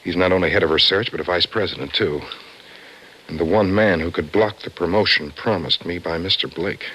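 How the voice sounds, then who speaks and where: Haunted anxious office man, deep bright voice, old radio play, bit of hiss. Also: brisk. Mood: brooding, earnest. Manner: earnest, brooding. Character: anxious, haunted married office man convinced his dreams come true. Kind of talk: old-time radio drama acting. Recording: noisy vintage radio recording.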